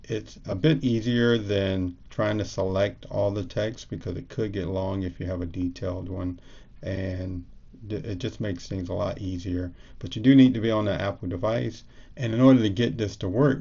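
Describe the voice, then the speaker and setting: A man's voice: fairly low pitch, gravelly, warm tone, muffled recording. A man presenting a casual step-by-step tech tutorial as a screencast.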